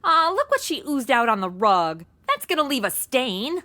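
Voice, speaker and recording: A woman's voice: high voice, big pitch swings, moderate pace, sharp tone, slight background noise, heavily acted. A woman playing a flippant, ditzy modern young woman in a comedy audio drama, sarcastic and full of exclamations and slang.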